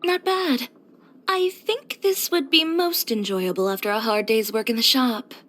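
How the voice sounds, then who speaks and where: A woman's voice: fairly high pitch, a little slow, fairly bright tone. A young woman voicing a confident, blunt fashion designer and boutique owner in a scripted video game, with a poised, direct delivery. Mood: casual, pleased. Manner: self-assured, direct, poised with dry sighs.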